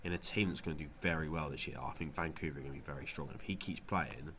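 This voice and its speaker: A man's voice: rumbling low, fast talker, fairly bright tone, a little hiss or hum. A man with a cold and a nasal voice, casually reviewing his fantasy football week in a vlog. He rambles a little, with lots of ums and restarts. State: has a cold, sounds nasal. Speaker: fantasy football video creator.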